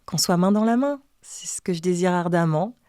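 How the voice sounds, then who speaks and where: A woman with a low, mellow voice speaks in a calm, earnest and measured way. Her voice sits close to the mic in a dry room with no echo, as in a documentary interview. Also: smooth. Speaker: sign-language singer interviewed.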